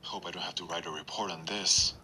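Intense, sharp-voiced action-game hero. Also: male voice, low-pitched, fairly even intonation, fairly quick, slight background noise. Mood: low, controlled. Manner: terse, intense, commanding. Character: tough, determined male action-hero special operative. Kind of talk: video game voice acting.

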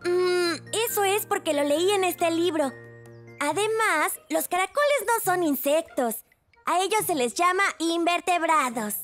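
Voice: extremely high pitch, lively intonation, unhurried, a bit bright, soft background music, exaggerated voice. A performer playing a timid, bookish little boy in a cartoon dub, with a Latin American accent. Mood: matter-of-fact. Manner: precise, know-it-all when explaining.

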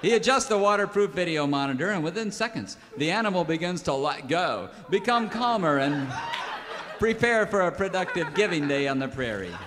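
An actor playing a hearty, folksy cowboy pitchman in a parody old-time radio commercial. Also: animated, a little fast, in a large hall. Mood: folksy selling. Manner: hearty, theatrical salesman delivery.

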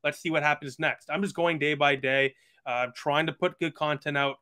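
A man's voice: a little high, fairly even intonation, brisk, pushed and bright. A man of about twenty, chatting earnestly as a podcast guest over a video call with lots of fillers. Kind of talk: casual video call chat.

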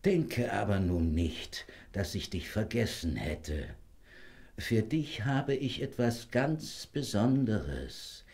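An actor playing a frail old dying father, gentle, solemn and deliberate, in a fairy-tale audio drama. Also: fairly low pitch. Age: older.

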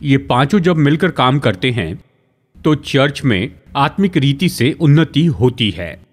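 A man's voice: mid-range voice, lively intonation, measured pace. A middle-aged man explaining religious teaching in a didactic way, repeating key phrases, as in a studio talk. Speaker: guest Bible teacher.

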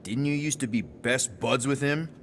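A man's voice: medium pitch, moderate pace, fairly bright tone, a little hiss or hum, natural voice. A man playing a young, exasperated space-outlaw leader in a video game, talking in a casual, wry way. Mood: wary. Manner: informal, wry. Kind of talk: conversational video game acting.

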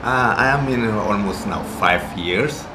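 A middle-aged man from Ethiopia who works in a restaurant, speaking haltingly with many fillers in an interview. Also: lowish voice. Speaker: restaurant worker. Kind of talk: casual interview. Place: inside a restaurant. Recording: roomy restaurant sound.

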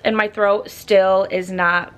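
A woman in her twenties chatting casually as a vlogger at home, a bit hoarse from a sore throat, rambling with lots of "like.". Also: mid-range voice, flat delivery, slow pace, fairly bright tone. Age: 20s. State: slightly hoarse, losing her voice. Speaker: lifestyle vlogger. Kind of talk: casual vlog chat. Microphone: handheld compact camera.

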